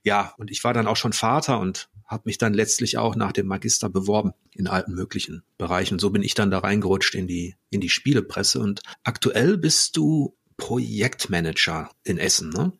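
Podcast host guy in his 40s, gravelly husky voice, asks stuff with lots of ähms. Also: lowish voice, brisk, few pauses. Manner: relaxed questioning with filler ums. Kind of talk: casual interview conversation.

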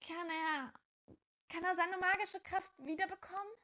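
An actress playing a lively, cheeky, affectionate young witch girl in a children's audio drama. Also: extremely high pitch, a little slow, exaggerated voice. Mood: pleading.

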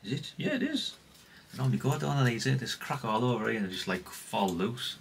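A man's voice: mid-range voice, animated, brisk, vocal fry, slight background noise. A middle-aged British man giving casual, unscripted workbench commentary in a rambling, chatty, plain-spoken way. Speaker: hobbyist radio repair vlogger.

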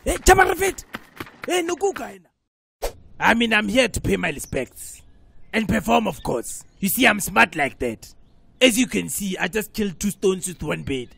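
A man voicing a brash, scheming, hot-tempered South African cartoon character, loud and excitable. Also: sits high, moderate pace, gravelly, slight background noise, full character voice. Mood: indignant, boastful. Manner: loud, excitable, mixes English into vernacular. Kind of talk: comedic cartoon dialogue.

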